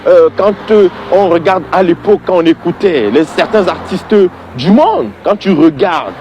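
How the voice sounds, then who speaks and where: A man's voice: high-pitched, lively intonation, moderate pace, a little mellow, a little hiss or hum. A man giving an informal religious opinion monologue, exasperated and emphatic, repeating points and addressing the listener directly.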